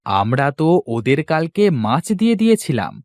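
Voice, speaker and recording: A man's voice: mid-range voice, very animated, normal speed, dry recording, clean studio sound, lightly acted. A man voicing an eager, bargaining talking frog in a cartoon fairy tale. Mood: inquisitive. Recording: music under the voice.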